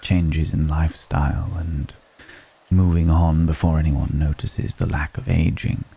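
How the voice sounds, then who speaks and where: Man as a very deep, gravelly ancient vampire. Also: little pitch movement, warm tone, exaggerated voice. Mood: reflective, grave. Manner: slow, measured, pausing between phrases, formal wording. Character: ancient male vampire, wistful, refined, darkly seductive. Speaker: voice actor in an audio roleplay. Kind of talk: slow intimate ASMR roleplay acting.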